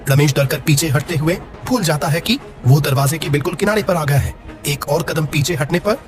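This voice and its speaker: A man's voice: fairly high pitch, a little fast, soft background music. A man narrating a scripted horror story dramatically.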